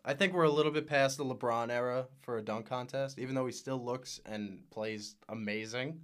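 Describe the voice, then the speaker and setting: A man's voice: mid-range voice, fairly even intonation, moderate pace, a bit bright, smooth. A young man giving emphatic, opinionated takes as a guest on a casual sports podcast.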